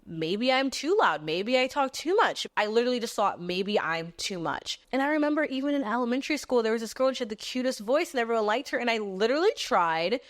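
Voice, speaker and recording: A woman in her twenties from Texas hosting a podcast, talking in an animated, casual monologue that moves between a squeaky voice and a lower one, with frequent casual swearing. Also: fast, hardly any pauses, dry recording. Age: 20s. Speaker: podcast host.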